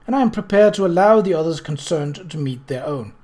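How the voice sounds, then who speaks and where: A man's voice: on the high side, animated, fairly quick, a little mellow, voice pushed up, close to an everyday voice. A man voicing a cold, commanding woman in a storybook reading, with icy control over her fury and an emphatic delivery. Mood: quiet, controlled.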